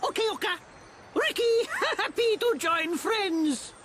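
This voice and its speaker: A tiny, excitable furball creature from a video game, extremely high and squeaky. He talks in childlike broken English at a slowish pace. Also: slight background noise, full character voice. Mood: cheerful, proud. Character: small cheerful forty-year-old male furball creature, childlike. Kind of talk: cartoonish video game acting.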